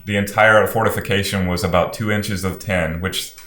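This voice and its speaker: A man's voice: low-pitched, steady tone, normal speed, fairly bright tone, echoey room. A man co-hosting a casual movie discussion with wry, wordy phrasing. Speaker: podcast co-host.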